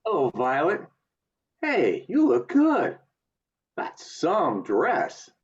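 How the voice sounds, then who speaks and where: A man playing a restless, eager young small-town man with big dreams in an amateur radio play, earnest and expressive. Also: sits high, very slow, full character voice. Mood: breezy, cheerful. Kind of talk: community theatre radio play acting. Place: remote video call. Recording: dull lo-fi video call audio.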